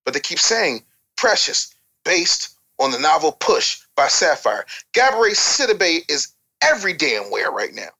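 A man's voice: on the high side, big pitch swings, a little slow, creaky, bright, forceful tone. An American man talking as an opinionated guest on a casual talk show, emphatic and repeating phrases for effect like a storyteller. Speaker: interview guest.

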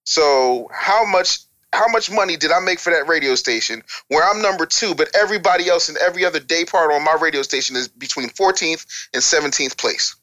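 Bright, punchy American man talking bluntly. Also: fairly high pitch, animated, brisk, few pauses, poor audio. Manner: blunt, emphatic, streetwise. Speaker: interview guest, former radio DJ and record maker. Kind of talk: candid conversational interview talk.

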